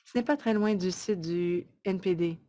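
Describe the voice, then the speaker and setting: A woman interpreting a webinar presentation live, in measured, halting short phrases. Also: on the low side, mellow.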